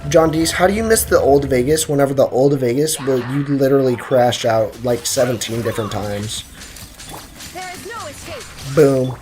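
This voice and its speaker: A young man streaming a video game, giving excitable, joking commentary and answering his chat. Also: medium pitch, moderate pace, soft background music. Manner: excitable, joking, trash-talking. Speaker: gaming streamer. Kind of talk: live game commentary, chatting with viewers.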